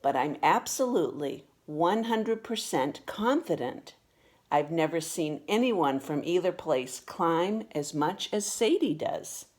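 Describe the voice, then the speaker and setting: A woman reading a children's picture book aloud with expressive, deliberate storytelling delivery. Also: low-pitched. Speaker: storybook reader.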